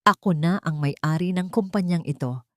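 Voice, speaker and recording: Woman playing a cold, composed wronged wife, low voice. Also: normal speed, no echo, clean studio sound, lightly acted. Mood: cold triumph. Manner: cold, steady, declarative. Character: cold, composed wronged wife taking back her company.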